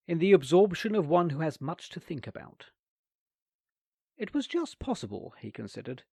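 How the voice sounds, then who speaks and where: Expressive male storyteller, dry sound. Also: medium pitch, husky, no echo. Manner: expressive, theatrical. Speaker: reader. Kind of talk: scripted story narration.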